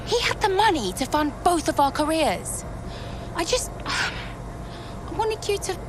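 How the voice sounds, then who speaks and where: A woman's voice: very high-pitched, sing-song, slow pace, background noise, heavily acted. A woman playing a hurt but defiant adult daughter, a racing driver who speaks bluntly and emotionally in a game cutscene. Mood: hurt, pleading. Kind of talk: naturalistic game cutscene acting.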